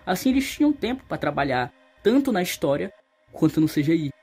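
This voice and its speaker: A man's voice: a little high, sing-song, a little fast, darkish tone, husky. Young Brazilian man giving opinionated commentary. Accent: Brazilian Portuguese. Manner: colloquial, emphatic when criticizing. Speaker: video essayist. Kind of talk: opinionated commentary, casual video essay. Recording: voice-over over background music. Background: music.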